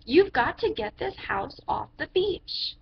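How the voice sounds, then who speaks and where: Female reader as blustering picture-book judge: high pitch, emphatic, scoffing; lo-fi recording with noise. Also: sing-song, creaky, heavily acted. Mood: scoffing, indignant. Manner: scoffing, emphatic, drawn-out commands. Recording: dull lo-fi sound with background noise.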